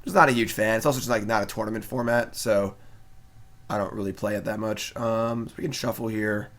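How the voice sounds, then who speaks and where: A man's voice: lowish voice, steady tone, fairly quick, a bit bright. Laid-back man commenting on his game. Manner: laid-back, chatty, wry asides and frequent fillers. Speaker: streamer playing card game. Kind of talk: casual live-stream commentary.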